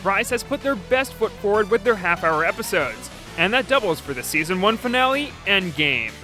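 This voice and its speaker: A man's voice: high-pitched, very animated, moderate pace. A man reading a scripted video review as its host and narrator. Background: background music.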